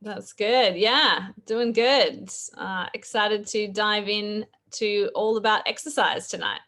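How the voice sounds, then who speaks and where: A woman with an Australian accent hosting a live podcast chat over a video call, her voice a bit croaky, chatty and quick to laugh. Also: mid-range voice, a bit bright. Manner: chatty, laughs often, fillers. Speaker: podcast host. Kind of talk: casual live-stream chat.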